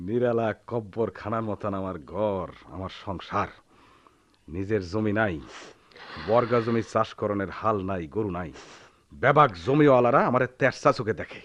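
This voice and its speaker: An actor playing a proud, hot-tempered poor village husband in a Bengali radio drama, with a rural Bangladeshi accent and a theatrical, defiant manner. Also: male voice, on the low side, normal speed, a bit bright, muffled recording, close to an everyday voice. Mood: bitter, brooding.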